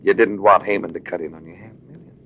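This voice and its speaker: An actor playing a shrewd, self-assured adventurer-investigator with a dry, needling manner in a late-1940s radio drama. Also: male voice, low voice, fairly even intonation, fast talker, gravelly, highs cut off. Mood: cool accusing. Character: shrewd, sardonic male adventurer-investigator accusing suspects. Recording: noisy vintage radio recording.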